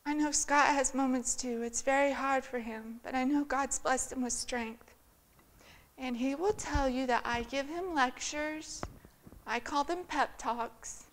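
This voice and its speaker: A woman's voice: sits high, fairly even intonation, measured pace, low-quality recording. A middle-aged woman sharing her personal testimony with earnest, heartfelt storytelling at a church women's event, speaking from a lectern in a large church sanctuary. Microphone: filmed by a far camera.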